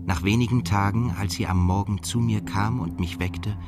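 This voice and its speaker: Brooding male narrator played by an actor for literary radio drama, low register, measured and melancholy, music underneath. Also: close to an everyday voice. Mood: brooding, uneasy. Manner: measured, introspective, scripted. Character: brooding, melancholy male journalist telling his story. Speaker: narrator and protagonist.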